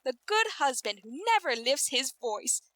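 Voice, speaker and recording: An actress playing a bitter, frightened middle-aged wife with an intense, melodramatic delivery in a 1940s style radio drama. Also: female voice, sits high, big pitch swings, moderate pace, sharp tone, exaggerated voice. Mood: bitter, accusing. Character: bitter, frightened long-suffering wife of a scientist.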